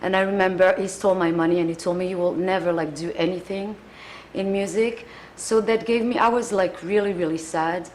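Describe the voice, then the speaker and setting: A woman with a French accent and a somewhat low voice talks earnestly in an interview, reaching for her English words and filling gaps with "like." A faint hum of room ambience sits behind her. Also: steady tone, brisk, darkish tone. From France. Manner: earnest, searching for words, many "like" fillers. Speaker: singer, interview guest. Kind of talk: casual interview answers.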